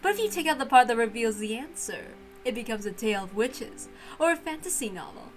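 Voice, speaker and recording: A woman's voice: on the high side, sing-song, fairly quick, soft background music. A woman voicing a haughty, playful witch centuries old, theatrical and teasing, in a dramatic visual novel reading. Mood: amused, teasing. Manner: theatrical, teasing, given to chuckling. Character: haughty, playful centuries-old female witch who relishes mystery games.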